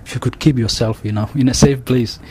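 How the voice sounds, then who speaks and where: A young man with a Somali accent, telling his story in an interview, halting and full of restarts and fillers. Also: mid-range voice, fairly even intonation, a little mellow. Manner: halting, many restarts and "you know" fillers. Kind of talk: oral history interview. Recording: faint background noise.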